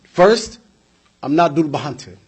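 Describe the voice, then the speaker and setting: A man's voice: fairly high pitch, animated, slow, noisy background. A man giving a blunt political speech to a community audience, driving his points home with rhetorical questions and repeated phrases. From United States. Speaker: community speaker.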